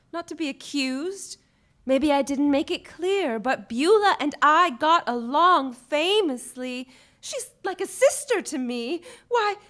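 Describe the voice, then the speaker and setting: Earnest, high-voiced young 1940s dancer. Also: female voice, fairly bright tone. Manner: earnest, melodramatic. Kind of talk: live radio play acting. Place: theatre stage before a live audience.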